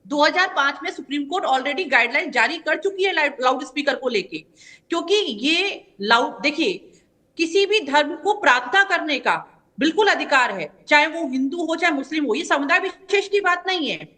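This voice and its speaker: A woman spokesperson argues her case on a televised news panel, citing laws and dates one after another. Her voice sits very high and sounds bright and forceful. She stays assertive throughout. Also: lively intonation, normal speed. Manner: assertive, lays out points with legal specifics. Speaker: party spokesperson. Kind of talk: debate argument on a news panel.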